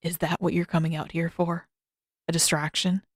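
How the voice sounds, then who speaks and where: A woman voicing a reserved, earnest teenage prince in an understated, measured and wry way for an audiobook story. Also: on the low side, flat delivery, no room sound, studio quality, voice pushed down. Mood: dry, grudging.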